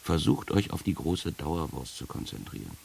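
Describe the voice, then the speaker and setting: An actor playing a sardonic guide of the dead in a radio play, speaking in a wry, gravelly, unhurried and instructing way. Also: male voice, a bass voice, big pitch swings, darkish tone, heavily acted. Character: sardonic male guide leading the dead through trials. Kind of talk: 1980s German radio play acting. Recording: hissy early-1980s radio recording.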